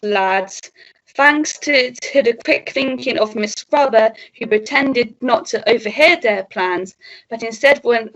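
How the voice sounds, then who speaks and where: A steady police sergeant played by a woman in a melodramatic radio play, speaking very slowly in a highish voice. The delivery is halting and calming, on a dull recording. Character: steady, level-headed police sergeant rallying constables.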